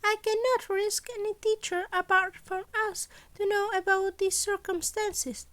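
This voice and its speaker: A small, cunning rodent principal from an audio story, acted out by a woman, speaks in a very high voice. He is courteous and formal, stressing his words firmly as he sets out exacting terms. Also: steady tone, fairly bright tone. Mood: stern, emphatic. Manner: formal, measured, emphatic phrasing.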